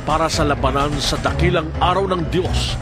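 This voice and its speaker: A man's voice: fairly high pitch, fairly even intonation, moderate pace, over music. A man with a Filipino accent giving solemn, emphatic dramatic narration of scripture. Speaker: Bible narrator.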